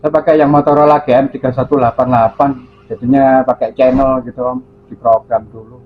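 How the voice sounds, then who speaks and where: A man's voice: mid-range voice, flat delivery, a little fast. A man chatting casually and a little hesitantly as an amateur radio operator on a two-way radio. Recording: narrow phone-like sound, faint hum.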